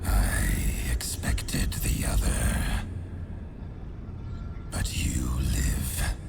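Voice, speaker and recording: A menacing dark god who looms over his chosen child. His voice sits at the very bottom of the register, a slow gravelly whisper. Loud game music plays behind him. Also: rumbling low, full character voice. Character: dark murder god, menacing father deity. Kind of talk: video game voice acting.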